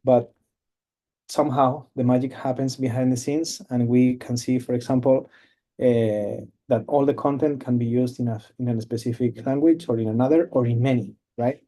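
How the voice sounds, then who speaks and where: Man, webinar presenter, very dark mellow voice, halting, video call. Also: mid-range voice, fairly even intonation. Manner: halting, many fillers and restarts. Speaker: cloud company presenter. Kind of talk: webinar product demo.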